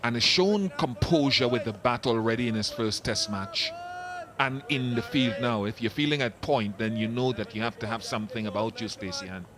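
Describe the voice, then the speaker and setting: A man giving relaxed, chatty live cricket commentary, explaining the game's finer points. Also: mid-range voice, normal speed. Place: commentary box at a cricket ground.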